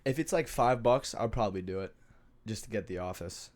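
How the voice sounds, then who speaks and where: Stuffed-up young guy rambling on a podcast, slangy, lowish voice. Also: fairly quick, a little mellow. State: stuffy nose. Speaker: podcast host. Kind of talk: casual chat.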